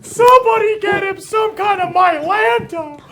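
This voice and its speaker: A man voicing a whiny, high-voiced middle-aged man who shouts his complaints. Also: unhurried, heavily acted. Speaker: show host. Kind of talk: improvised comedy character.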